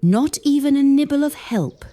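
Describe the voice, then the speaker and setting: A proud little hedgehog boy from a children's storybook, acted out by a woman. His voice jumps up high and swings about in pitch. He is stubborn and huffy, insisting on doing everything himself. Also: lively intonation, moderate pace, mellow.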